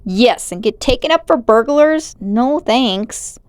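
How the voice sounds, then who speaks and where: A woman's voice: on the high side, lively intonation, slow, no echo, voice pushed up. A woman voicing a spirited, curious young girl in a storybook, with pert, questioning delivery. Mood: pert, wary. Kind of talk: storybook character voice.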